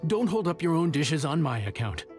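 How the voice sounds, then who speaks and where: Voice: medium pitch, big pitch swings, moderate pace, a little mellow, close to an everyday voice. An actor voicing a nervous, self-doubting young male chef in a video game, hesitant and stammering, with music behind. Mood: nervous, flustered. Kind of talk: English video game voice acting.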